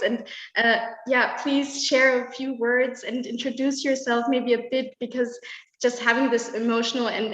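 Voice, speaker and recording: Higher-voiced woman hosting a video call, gushing and choked up, roomy echo, kinda dull sound. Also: a bit bright. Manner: emotional, effusive, halting. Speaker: host. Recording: echoey, dull room sound.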